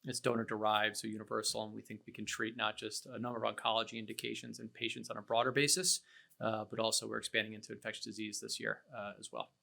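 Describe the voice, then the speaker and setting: A man's voice: lowish voice, completely flat delivery, fast talker, creaky, sharp tone. A man introducing his company on a business panel, with a wry remark. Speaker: biotech CEO panellist.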